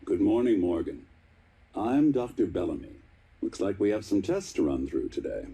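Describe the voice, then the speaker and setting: A man voicing an upbeat, fussing scientist who runs tests in a video game, with an encouraging, halting, politely apologetic manner. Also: sits low, very animated, dark tone, husky, full character voice. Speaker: doctor, test administrator.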